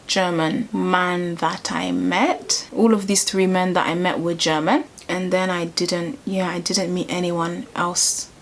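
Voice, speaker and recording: Woman, British accent, young adult, casual vlog, rambling and chatty, slightly low voice. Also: fairly even intonation, measured pace, creaky, few pauses. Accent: British English. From United Kingdom. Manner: chatty and rambling, many fillers and restarts. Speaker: vlogger. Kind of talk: casual personal vlog storytelling.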